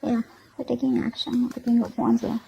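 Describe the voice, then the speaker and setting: A woman with a fairly high voice talks straight to the listener as she reads tarot cards. Her delivery is unscripted and conversational, dotted with small check-ins. The recording has clear background noise. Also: little pitch movement, fairly quick, dark tone, dull audio. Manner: conversational, often checking in with okay and you know. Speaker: tarot reader and channel host. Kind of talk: improvised tarot reading addressed to the viewer.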